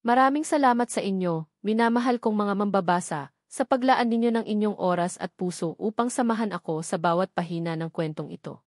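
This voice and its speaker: A woman reading a scripted sentimental story in an earnest, measured storytelling manner. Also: mid-range voice, no echo, clean studio sound. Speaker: story narrator.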